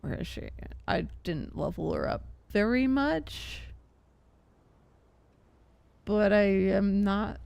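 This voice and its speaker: Expressive woman streamer with lively pitch. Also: slow, vocal fry, long pauses, clear, resonant tone, dry recording. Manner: expressive, chatty, thinking aloud. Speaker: game streamer. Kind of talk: casual livestream gaming chat. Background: game music.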